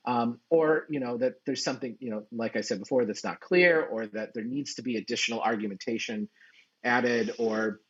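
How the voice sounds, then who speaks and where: A man presenting as a journal editor in an explanatory conference style, speaking haltingly with frequent restarts and repeated words. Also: mid-range voice, husky, dull audio.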